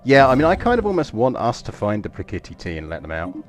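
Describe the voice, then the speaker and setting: A deep-voiced English man talks his viewers through a strategy game in a loose, wandering way, poking fun at himself. Faint music plays underneath. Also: wildly swooping pitch, fast talker. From England. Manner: rambling, chatty, self-deprecating. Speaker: game streamer. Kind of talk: casual live gameplay commentary.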